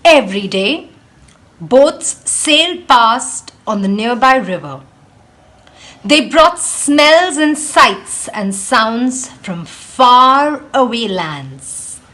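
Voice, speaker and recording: A woman reading a children's storybook aloud with expressive, deliberate, dramatic phrasing. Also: sits high. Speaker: read-aloud host, storyteller.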